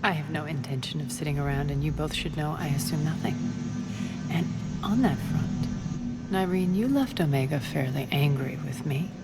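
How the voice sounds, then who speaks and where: An imperious female ruler of a lawless space station in a video game. She is cool and sardonic, speaking in a lowish register with long reverb and music behind her. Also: normal speed, vocal fry. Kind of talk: cinematic video game acting.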